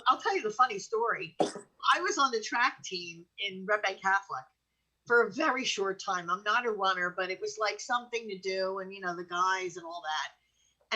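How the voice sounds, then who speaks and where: A woman's voice: mid-range voice, sharp tone, low-quality recording. An American woman co-host telling anecdotes casually, in a candid, colloquial way. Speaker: show co-host.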